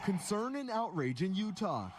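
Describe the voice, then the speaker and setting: A man's voice: high-pitched, very animated, normal speed, very noisy. A man reading a scripted news report as a reporter.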